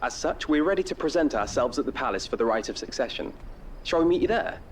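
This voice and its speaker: A man voicing a courteous, formal young companion in a video game, polite and measured. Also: medium pitch, lively intonation, far from the mic, slight background noise, natural voice. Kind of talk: scripted video game voice acting.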